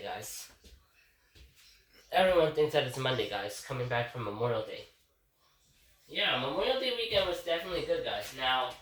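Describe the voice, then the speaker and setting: A man's voice: medium pitch, fairly bright tone. A congested man vlogging a casual, rambling reflection from his kitchen, repeatedly addressing his viewers as guys. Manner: rambling, keeps calling out to "guys". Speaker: vlogger giving a daily reflection. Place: home kitchen. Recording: roomy, distant kitchen recording.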